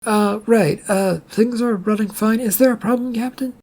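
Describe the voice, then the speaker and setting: Male narrator as stubborn woman ship engineer in audio fiction, creaky, jumpy and grumbling. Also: high-pitched, moderate pace, a little mellow, voice pushed up. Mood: nervous. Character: female ship's engineer, jumpy, exasperated, stubborn.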